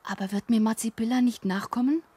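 An actress playing an earnest, polite young fairy-tale wanderer, speaking simply and gently in a radio play. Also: female voice, on the high side, steady tone, fairly quick, slightly rough. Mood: eager, earnest.

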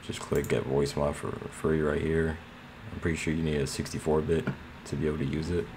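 A man's voice: a bass voice, flat delivery, fairly quick, darkish tone. A man narrating a casual screen tutorial in a plain, slightly hesitant way. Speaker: tutorial host. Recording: clear background noise.

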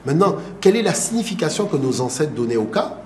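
Guest debater, middle-aged man, rapid and insistent, slight room hiss. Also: fairly high pitch, sing-song. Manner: insistent, interrupting, argumentative. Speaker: guest historian. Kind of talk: heated TV debate. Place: TV studio set, indoors. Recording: echoey studio with faint room hiss.

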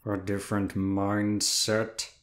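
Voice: sits low, monotone, takes it slowly. A performer playing a lazy, bitter, exhausted demon of Sloth in an audio drama, speaking in a weary, self-pitying drawl. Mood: grumbling. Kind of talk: audio roleplay drama acting.

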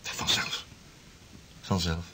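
An actor playing an ardent young sea explorer, tender with his betrothed, in radio drama with a husky, impassioned delivery. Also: extremely slow, background noise. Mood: amused, tender. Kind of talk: Dutch radio drama acting.

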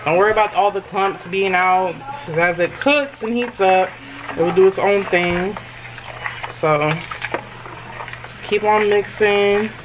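A woman's voice: medium pitch, a little slow. Chatty woman cooking in a kitchen. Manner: chatty, reassuring, addresses viewers as y'all. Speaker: home cooking vlogger. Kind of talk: casual cooking walkthrough. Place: home kitchen. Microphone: camera mic across the kitchen. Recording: noisy home kitchen recording. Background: clinking dishes and cutlery.

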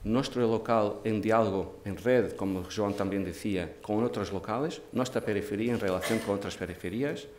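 A man's voice: on the low side, darkish tone. Give me a man with a Portuguese accent, a thoughtful moderator, recorded in an echoey hall with faint noise. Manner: thoughtful, pausing with hesitations and restarts. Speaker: curator and panel moderator. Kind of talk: panel discussion talk. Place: large dark auditorium stage.

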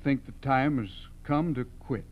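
An actor playing a nervous, reluctant garage owner mixed up in crime, hesitant and stammering, in a 1940s American radio drama. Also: male voice, mid-range voice, unhurried, a little hiss or hum, close to an everyday voice. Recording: dull, humming old radio recording.

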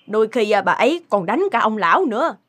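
A woman voicing a frank, gossipy neighbor in an animated moral tale, chatty and confiding. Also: fairly high pitch, fast, music in the background. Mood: confiding gossip. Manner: chatty, confiding, hesitating to recall.

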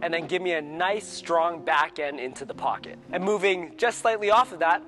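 An upbeat young man with a high voice talks in short, punchy bursts about his gear. He is on a lapel mic in an indoor bowling alley, with faint music underneath. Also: moderate pace, a bit bright. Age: young adult. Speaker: two-handed bowler presenting his arsenal. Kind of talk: casual equipment walkthrough.

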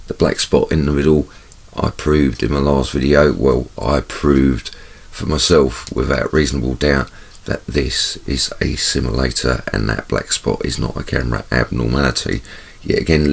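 A man with a very deep, gravelly voice that sounds congested and bunged up. His tone is husky and rough as he talks casually over footage. He keeps doubling his words for emphasis in a chatty, conspiratorial way. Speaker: channel host. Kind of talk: casual video commentary over camera footage.

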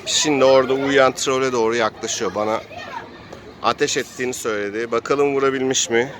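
A man's voice: mid-range voice, steady tone, a little fast. Man narrating a dramatic tabletop adventure. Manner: dramatic play-by-play storytelling. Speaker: game master and player. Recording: faint street noise and background voices.

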